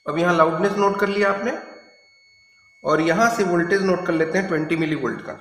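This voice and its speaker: A man's voice: sits high, brisk, a bit bright. A man giving a step-by-step lab demonstration as a physics teacher, instructing and pointing things out as he goes. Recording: echoey room, noisy with hum.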